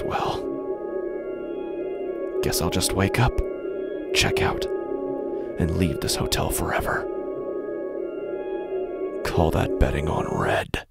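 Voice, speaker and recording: A man playing a weary, fatalistic gambler who doubts reality, narrating a horror audio drama in brooding, deliberate, halting phrases. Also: low-pitched, creaky, full character voice.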